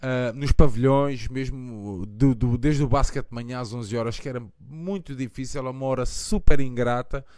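A man in his thirties with a European Portuguese accent hosts a late-night live fan stream. His voice is smooth and resonant, recorded dry and close. He talks with passion, rambles, and leans on fillers. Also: mid-range voice, moderate pace, few pauses, darkish tone, no room sound. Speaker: podcast host of a fan channel. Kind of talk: casual live chat.

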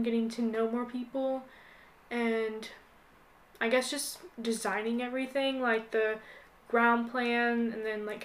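A woman's voice: a little high, a bit bright, slight background noise, low-quality recording. A young woman chatting casually as a podcast guest, in an informal, conversational way. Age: young adult. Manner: informal, frequent "like". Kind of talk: casual chat.

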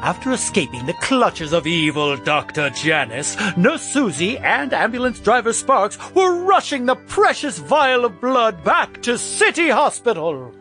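Excitable, high-pitched radio serial announcer. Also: male voice, unhurried, hardly any pauses, soft background music, full character voice. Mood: melodramatic. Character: melodramatic, excitable male old-time radio serial announcer. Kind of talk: parody serial narration.

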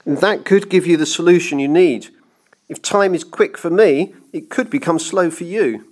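A timid clockmaker in a dramatised story, voiced by a male narrator. His voice sits well above a plain narrating pitch as he carefully pleads and placates, anxious to keep the peace. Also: moderate pace, lightly acted. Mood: nervous. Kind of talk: dramatised audiobook reading.

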